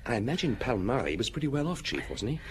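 An actor playing a keen, deferential junior police inspector in a radio drama, brisk and conversational. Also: male voice, medium pitch, big pitch swings, lightly acted. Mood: eager reporting. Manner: conversational, reporting to his superior. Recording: radio drama with background ambience noise.

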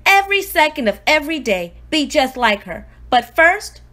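A woman voicing a precocious, self-important newborn baby diarist, earnest and exclamatory, in an expressive storybook read-aloud. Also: high-pitched, normal speed, full character voice. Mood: awed, eager.

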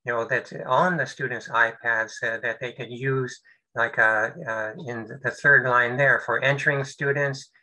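An older man presents over a video call. His voice sounds very bright and forward, and he talks in halting phrases full of fillers and restarts. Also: medium pitch, steady tone, low-quality recording. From United States. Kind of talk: webinar presentation.

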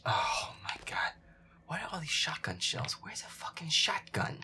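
A man muttering irritably and swearing to himself as he gives commentary on a horror game, his voice breathy and often half-whispered. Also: on the high side, big pitch swings, measured pace. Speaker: gamer playing in character.

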